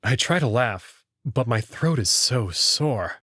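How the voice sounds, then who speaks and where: A male narrator reads a visual novel in the first person. His voice is husky with lively, dramatic intonation, and the recording is dry. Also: mid-range voice, slow, no echo.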